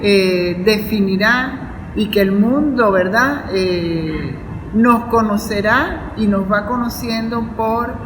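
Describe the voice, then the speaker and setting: A woman's voice: medium pitch, slow. A woman with a Venezuelan Spanish accent giving an earnest, formal panel speech as a university academic, repeating phrases for emphasis. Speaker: university professor and academic vice-rector. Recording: echoey hall with loud background noise.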